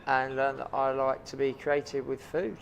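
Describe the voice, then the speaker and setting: Gravelly New chef, earnest. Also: male voice, mid-range voice, flat delivery, moderate pace, slight background noise. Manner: earnest, frequent ums and repeated words. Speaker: chef and restaurant owner. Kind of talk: interview answers.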